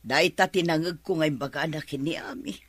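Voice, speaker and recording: A woman's voice: sits low, fairly quick, no room sound. A middle-aged woman acting a worried, scolding mother in an Ilocano radio drama. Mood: worried, confiding.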